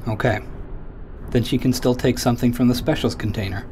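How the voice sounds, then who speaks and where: A man's voice: medium pitch, fairly even intonation, fairly quick, darkish tone, a little hiss or hum, natural voice. An actor playing an earnest, literal-minded crewman who talks in a plain, matter-of-fact way. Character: literal-minded man crew member, earnest, flat.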